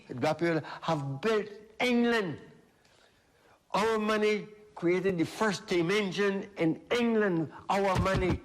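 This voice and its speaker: A man with a Barbadian accent and a slightly raised voice. He speaks earnestly and forcefully in an interview, repeating words for emphasis. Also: a little high. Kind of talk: opinionated interview.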